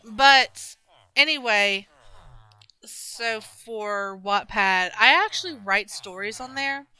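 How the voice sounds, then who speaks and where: A woman's voice: medium pitch, animated, slow pace, bright, forceful tone, clean tone, rough recording. A young woman chatting casually and rambling while she plays a game on stream, with many restarts and fillers. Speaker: gaming streamer.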